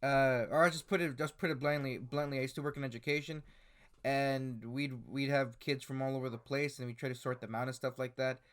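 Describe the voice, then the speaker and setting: Man, twenties, casual commentary, lots of fillers and restarts, mid-pitched. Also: fairly even intonation, a little fast. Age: 20s. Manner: hesitant, many "uh" fillers and restarts. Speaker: commentary YouTuber. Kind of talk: casual commentary reading screenshots.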